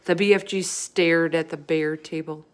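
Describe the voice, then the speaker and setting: Lady reading a kids book out loud, lowish voice, expressive storytelling. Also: measured pace, clear, resonant tone. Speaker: read-aloud storyteller. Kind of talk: expressive children's story narration.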